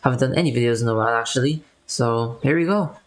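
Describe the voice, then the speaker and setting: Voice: medium pitch, fast. A streamer giving casual, chatty commentary while playing a game. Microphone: new microphone.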